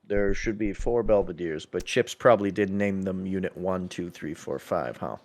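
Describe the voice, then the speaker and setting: A man's voice: deep voice, moderate pace, darkish tone. A man chatting casually during a game, explaining things emphatically and repeating himself for clarity. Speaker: roleplay server police lead. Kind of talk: casual chat while gaming.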